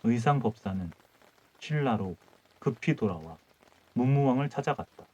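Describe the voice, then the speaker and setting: A man narrating a folk tale as a calm audiobook reader, reading in measured short phrases. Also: medium pitch, highs cut off. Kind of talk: calm bedtime storytelling.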